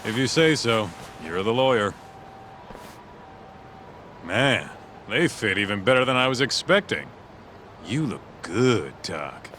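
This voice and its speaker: A man's voice: on the low side, big pitch swings, takes it slowly, long pauses, a bit bright, a little hiss or hum, full character voice. An actor playing a blunt, streetwise tough-guy sidekick in a video game cutscene, crude but loyal. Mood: casual. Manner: blunt, slangy, swearing.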